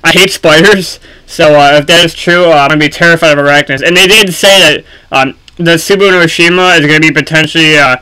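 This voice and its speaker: A man giving casual, unscripted gaming commentary for a video, speaking haltingly with frequent ums and pauses. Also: a little high, pushed and bright, clear, resonant tone, rough recording.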